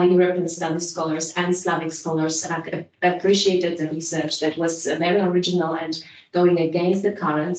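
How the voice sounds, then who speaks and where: A woman hosting an academic lecture, giving an informal introduction in a halting way and often repeating words. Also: lowish voice, darkish tone. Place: room at a hybrid academic event. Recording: echoey room, distant microphone.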